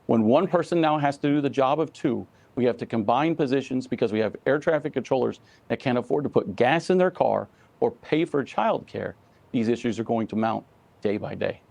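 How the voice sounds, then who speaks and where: Very gravelly man, earnest spokesman. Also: medium pitch, darkish tone, no room sound. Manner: earnest, emphatic, measured spokesman. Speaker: union president, interview guest. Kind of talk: live news interview answers.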